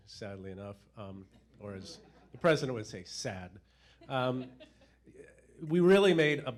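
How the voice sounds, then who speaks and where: A man speaking as a panel guest in a self-assured, conversational way. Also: fairly low pitch. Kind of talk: panel discussion.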